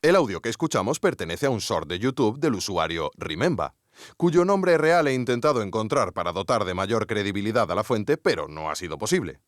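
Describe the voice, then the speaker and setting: A man's voice: on the low side, sing-song, brisk, no room sound. An actor from Spain playing a wry, curious male investigator narrating a podcast, with ironic conversational asides to the listener. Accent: Spanish from Spain. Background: music.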